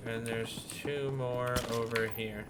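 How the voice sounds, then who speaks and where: Male game streamer, mid pitch, informal running commentary, typing and noise behind. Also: flat delivery, slow pace. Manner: informal, thinking aloud. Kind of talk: casual gameplay commentary, reading subtitles aloud. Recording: noisy with keyboard clatter. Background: game ambience, keyboard typing.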